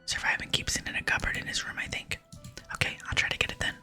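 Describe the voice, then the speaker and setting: A woman whispering in ASMR style, softly and slowly reading video game dialogue aloud. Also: soft background music. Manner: soft, slow, hushed reading. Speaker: ASMR gaming channel host.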